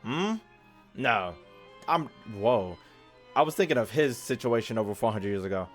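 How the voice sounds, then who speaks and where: A man's voice: mid-range voice, expressive, normal speed, soft background music. A young man chatting casually as a game streamer, informal and slangy, rambling through his commentary. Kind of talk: casual livestream chat and commentary.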